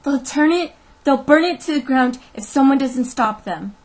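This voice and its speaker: A woman's voice: on the high side, a bit bright. A woman voicing an earnest, frightened female schoolteacher, urgent and defiant, in a storybook reading. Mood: breathless alarm. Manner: breathless, slow emphatic pleading.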